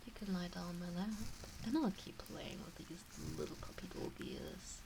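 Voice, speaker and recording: A woman voicing a teasing, doting kitsune witch in an intimate ASMR roleplay, cooing softly and often dropping into whispers. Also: lowish voice, moderate pace, vocal fry, noisy background. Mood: doting, soothing.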